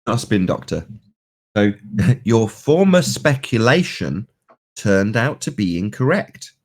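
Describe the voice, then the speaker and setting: A man's voice: fairly low pitch, big pitch swings, slow. A man on a discussion panel, chipping in casually with wry summaries. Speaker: panelist on a live show. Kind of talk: casual interjection.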